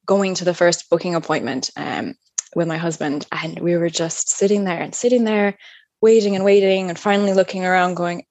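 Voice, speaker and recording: A woman in her thirties from upstate New York with an American accent, telling her personal story as a podcast guest, thoughtful and trailing off softly. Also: lowish voice, dry recording. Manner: thoughtful, many fillers, soft trailing endings.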